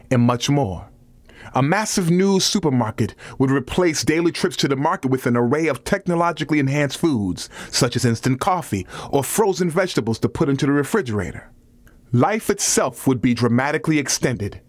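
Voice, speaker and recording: A man's voice: fairly high pitch, dry recording. A man reading a nonfiction audiobook as a scripted narration.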